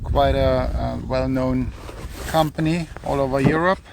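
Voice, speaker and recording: German-accented man with a creaky voice. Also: mid-range voice, fairly even intonation, unhurried, heavy background noise. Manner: informal, conversational. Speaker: fishing channel host. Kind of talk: casual gear review to camera. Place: outdoors on an open beach by the water.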